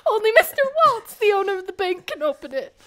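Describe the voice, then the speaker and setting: A panicked female bank employee in an audio drama, pleading for her life. Her voice is extremely high and swings sharply up and down. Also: very animated, fairly quick, pushed and bright, full character voice. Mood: terrified, pleading.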